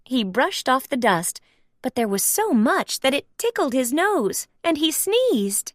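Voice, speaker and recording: A woman narrating a children's storybook aloud in an expressive read-along style. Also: sits high, a little slow, no room sound, clean studio sound.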